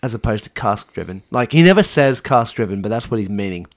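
A man's voice: fairly low pitch, lively intonation, quick pace, no room sound, clean studio sound. An Australian man co-hosting a podcast in casual chat, with a wry, jokey and opinionated manner. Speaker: podcast co-host.

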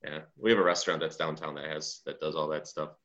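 A man's voice: rumbling low, fairly even intonation, fast talker, a bit bright, highs cut off. A man in his thirties chatting casually, hedging and poking fun at himself. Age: 30s. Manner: hedging, self-deprecating, easygoing. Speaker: guest. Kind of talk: casual chat.

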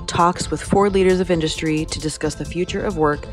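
A woman reading a scripted podcast introduction in a smooth, even promo manner. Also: lowish voice, fairly quick. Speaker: podcast announcer. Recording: music bed under voice. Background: guitar music.

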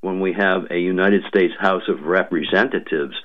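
Very deep voiced guy on a radio call, lots of ums and uhs, kinda muffled sound. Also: a little hiss or hum. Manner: halting, many ums and uhs, deliberate. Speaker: state legislator guest. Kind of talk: radio interview, policy talk.

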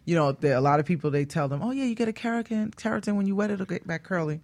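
A woman with a fairly low voice for a female speaker chats as a guest stylist. She explains things casually, with conversational emphasis. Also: expressive, racing, darkish tone.

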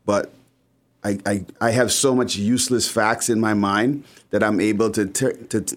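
A man in his forties from the Bahamas, an entrepreneur guest, talking fast and stumbling over repeated words. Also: fairly low pitch, steady tone. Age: 40s. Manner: talkative, repeats words as he starts. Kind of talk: casual interview chat.

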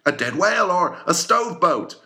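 An eager deckhand from a whaling story, put on by a male reader. He shouts in a raised, piercing voice, hearty and keen to answer the captain. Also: sits high, very animated, unhurried, voice pushed up, full character voice.

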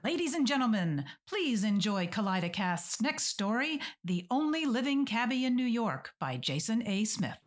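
A woman playing a blunt but soft-hearted podcast co-host in an audio drama, teasing and expressive. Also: fairly high pitch, moderate pace, few pauses. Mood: announcing. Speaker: podcast announcer character in a framing skit. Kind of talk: scripted audio drama dialogue.

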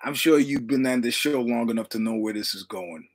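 A man's voice: medium pitch, brisk. A man in his sixties from New York City with a New York accent, telling rambling, profane anecdotes with quoted dialogue as a guest in casual conversation. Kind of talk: casual storytelling.

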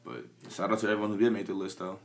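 A young man from the American Midwest, a rapper, chats in an easygoing, mumbling way, his words full of "like" and casual swearing. His voice sits very low and deep. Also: little pitch movement, rapid-fire. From Peoria, Illinois. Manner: laid-back, mumbly, frequent "like" fillers and swearing. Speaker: rapper and producer. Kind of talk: casual interview chat.

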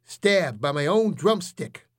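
A gloating vampire who drags out his threats with slow, theatrical menace, as a man puts him on. The voice sits a little high for a man and crawls along with smug relish. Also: animated. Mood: menacing. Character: grease-drinking male vampire, smug and menacing.

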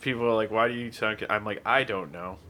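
A man's voice: fairly low pitch, fast talker, sharp tone, slight background noise. A man with a Canadian-sounding accent, hosting a casual group chat and asking the others questions. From US Mid-Atlantic and San Diego. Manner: curious, asks the others questions.

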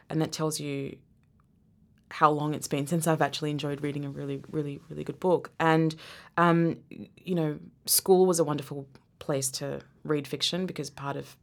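Woman from Zambia, low-pitched, gravelly, reflective, casual guest chat. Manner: reflective, many restarts and laughs. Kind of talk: casual conversational interview.

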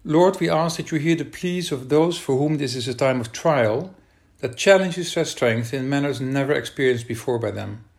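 A male minister with a Dutch accent leads prayer and scripture reading. His delivery is calm and measured, in the steady cadence of liturgy. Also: medium pitch, gravelly. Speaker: minister leading worship. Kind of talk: online worship service, prayer and scripture reading.

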